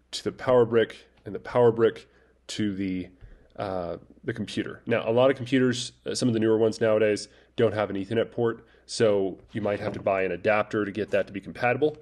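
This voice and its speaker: Male tutorial presenter, lowish voice, easygoing and hesitant delivery. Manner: relaxed, hesitant, many fillers and restarts. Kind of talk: casual screen-recorded tech tutorial. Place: voice-over over screen recording.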